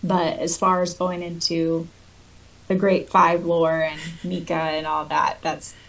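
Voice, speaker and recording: A woman co-hosting a podcast, chatting casually and reading notes aloud in a giggly, animated way. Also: fairly low pitch, measured pace, creaky, poor audio. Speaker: podcast co-host.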